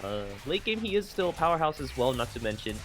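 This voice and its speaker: A man giving analytic commentary on an esports match, rambling with filler pauses; Vietnamese was his first language. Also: a little high, very animated, a bit bright, soft background music. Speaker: esports color caster.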